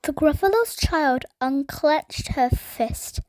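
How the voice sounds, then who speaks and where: Woman, children's storyteller, expressive read-aloud, high pitch, slow deliberate pace, dry close sound. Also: dry recording, poor audio.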